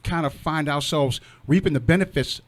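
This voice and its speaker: A man's voice: fairly high pitch, normal speed. A man hosting a conversational interview, often saying "you know" and chiming in with affirmations. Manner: frequent 'you know' and affirming interjections. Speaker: radio show host. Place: radio studio, indoors.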